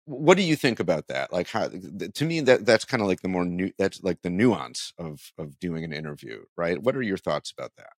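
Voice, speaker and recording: A man's voice: low voice, sing-song, normal speed, hardly any pauses, dry recording, studio quality. A man hosting a podcast, interviewing in a casual, exploratory way and rephrasing his questions as he goes.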